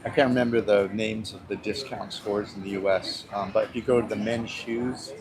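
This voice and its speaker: A man co-hosting a live travel vlog, talking in a relaxed, rambling way full of fillers. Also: fairly low pitch, fairly quick, low-quality recording. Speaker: vlog co-host. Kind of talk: casual live-stream chat. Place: restaurant at breakfast with crowd around. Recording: busy crowd chatter behind.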